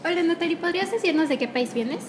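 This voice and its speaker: A young woman interviewer asks polite, scripted questions. Her voice is high and a faint noise sits behind her. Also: lively intonation, quick pace. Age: young adult. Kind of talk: student video interview.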